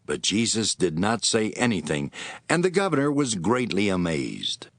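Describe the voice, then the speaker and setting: A man's voice: fairly low pitch, huge pitch swings, moderate pace, no echo, clean studio sound. A man narrating scripture as a scripted dramatic reading.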